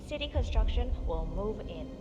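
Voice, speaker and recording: A woman acting as a secretive agency's guide in a video game, formal and measured. She is businesslike and composed, with loud music playing behind her. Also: on the high side, expressive, dull audio, close to an everyday voice. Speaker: facility guide. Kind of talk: scripted game dialogue.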